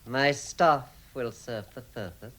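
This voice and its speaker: An actor playing a testy, commanding old wizard with an authoritative, theatrical manner in vintage radio drama. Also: male voice, mid-range voice, slow, a bit bright. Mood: mysterious. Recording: vintage 1960s radio recording.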